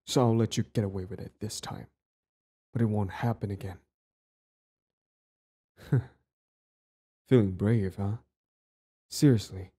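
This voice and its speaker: A man plays a young male bully in an intimate audio roleplay. His voice sits fairly low and is very soft-edged and mellow. He is cocky and possessive, yet clingy and smitten with the one he talks to. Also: slightly rough. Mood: menacing. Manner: stammering, swaggering, profane. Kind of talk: audio roleplay acting, addressed to the listener.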